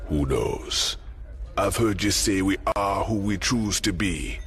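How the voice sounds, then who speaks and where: Very deep-voiced, cryptic mystic. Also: male voice, a bit bright. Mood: musing, ominous. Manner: slow, musing, cryptic. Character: cryptic, world-weary mystic man, brotherly and philosophical. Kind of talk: dramatic video game voice acting. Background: faint music.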